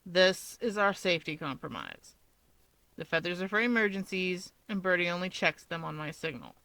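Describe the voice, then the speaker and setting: A woman's voice: on the low side, expressive, moderate pace, pushed and bright, no echo, low-quality recording. A woman voicing a surly, sardonic young man with a drawling, dismissive manner in a story reading.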